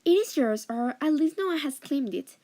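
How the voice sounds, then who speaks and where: A respectful, earnest servant, played by a woman in a radio drama, bringing news to the master. The voice is fairly high and very soft-edged, with slightly foreign phrasing. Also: animated, normal speed. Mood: earnest, deferential. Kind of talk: amateur radio drama, scripted acting.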